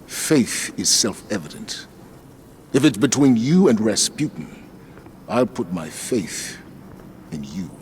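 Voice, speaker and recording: An actor playing a grave, stern male military commander who speaks in a measured, authoritative way. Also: lowish voice, sing-song, a little mellow, slight background noise.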